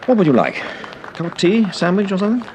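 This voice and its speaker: An actor playing a calm, protective, well-spoken British gentleman detective and husband in a radio drama. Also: high voice, quick pace, a crowd in the background. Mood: composed. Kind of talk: BBC radio drama acting. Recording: noisy radio drama with sound effects.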